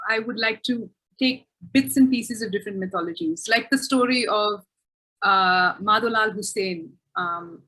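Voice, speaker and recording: A woman's voice: medium pitch, a bit bright, slightly rough, poor audio. A woman author answering questions in a webinar, thoughtful and self-correcting, with frequent restarts.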